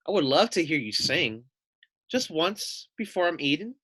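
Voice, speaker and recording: A man voicing a small young female lamb from a storybook, sly and calm, with a coaxing, flattering manner. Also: fairly high pitch, moderate pace, husky, no echo, pitched above the performer's own voice, exaggerated voice.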